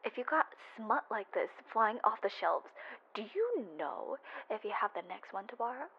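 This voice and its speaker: A woman's voice: fairly high pitch, very animated, moderate pace, full character voice. Woman playing a cocky, sweet-talking mafia boss, lo-fi. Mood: teasing, sheepish. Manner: teasing, swearing, often dropping to a breathy murmur. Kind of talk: intimate roleplay voice message. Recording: dull, lo-fi voice-message sound.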